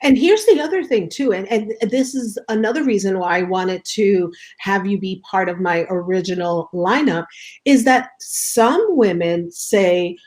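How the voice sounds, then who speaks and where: A woman's voice: mid-range voice, a little slow, darkish tone. A woman hosting an online interview, chatting casually and praising her guest. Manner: admiring, talkative. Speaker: host.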